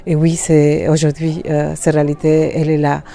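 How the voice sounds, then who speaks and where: Woman, middle-aged, warm low tone, hesitant, many fillers. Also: monotone, normal speed, vocal fry. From Colombia. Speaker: guest. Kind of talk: interview conversation.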